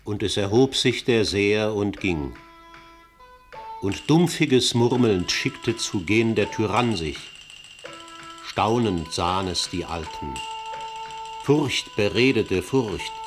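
A man narrating a radio play in a measured, solemn way. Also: on the low side.

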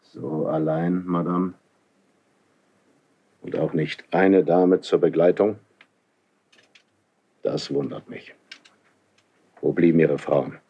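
Stern, slow, low-voiced older king. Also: steady tone, breathy edge, exaggerated voice. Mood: cold, suspicious. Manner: cold, measured, commanding. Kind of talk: classical German radio drama acting. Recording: dull 1970s radio recording.

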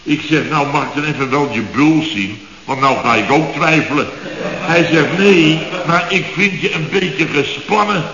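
Blustering, sarcastic building boss, boomy old radio. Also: male voice, moderate pace, bright, forceful tone, echoey room, in a large hall, dull audio. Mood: indignant. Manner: blustering, sarcastic, clipped half-sentences, repeats himself. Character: grumbling, exasperated male building contractor, long-winded storyteller. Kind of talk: 1970s radio comedy acting.

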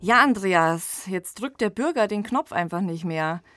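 Female host, medium pitch, conversational analytical delivery, close dry studio recording. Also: expressive, unhurried, a bit bright, dry recording. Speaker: podcast host. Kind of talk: conversational analysis of a radio play.

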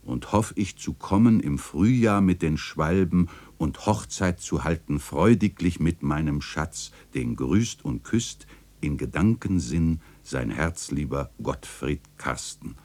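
An actor playing a reflective, nostalgic chronicler narrating a literary radio play in a measured, contemplative way. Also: male voice, very deep voice, animated, a little mellow, full character voice. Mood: tender reading. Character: reflective, nostalgic male chronicler of an old city lane.

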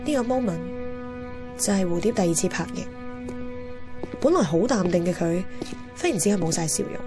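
A woman's voice: fairly low pitch, animated, normal speed, lightly acted. A woman with a Hong Kong Cantonese accent playing a wistful, candid young heroine who narrates her romance in a radio drama. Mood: reflective. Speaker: narrator and lead character. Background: music.

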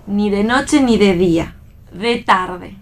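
A woman's voice: medium pitch, slow. An Argentine actress playing a playful, teasing, defiant young wife and mother in a radio play reading. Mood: tired, playful.

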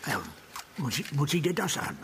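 Casting: gruff male police boss, gravelly, clipped and bossy, radio crime drama with noisy background. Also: fairly high pitch, sing-song, moderate pace. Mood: urgent, grumbling. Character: gruff, blunt police chief briefing his detective. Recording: noisy room ambience behind.

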